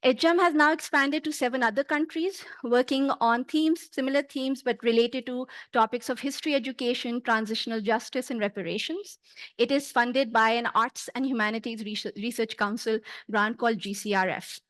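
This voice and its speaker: A middle-aged Pakistani woman with a high, light voice, speaking English as a second language after Punjabi. She delivers a prepared conference talk in a measured, earnest way, pausing on small fillers. The sound is close and dry, with almost no room around her. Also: fairly bright tone, no echo. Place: conference hall.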